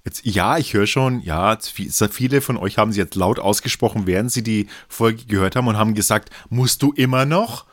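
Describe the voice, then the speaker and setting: A man's voice: lowish voice, fairly quick, few pauses, dry recording. A man with a Franconian accent co-hosting a beer podcast in casual chat, rambling and correcting himself often, laughing easily. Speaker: podcast co-host.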